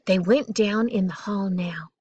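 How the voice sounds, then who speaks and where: A woman reading a children's bedtime story aloud in an expressive, deliberate way, drawing out key words. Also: medium pitch, breathy edge, dry recording, muffled recording.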